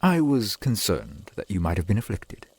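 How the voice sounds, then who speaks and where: A man playing a courtly, doting centuries-old vampire boyfriend in an ASMR roleplay, speaking in formal, archaic, gently attentive phrases. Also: lowish voice, very animated, moderate pace, husky. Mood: courteous, concerned.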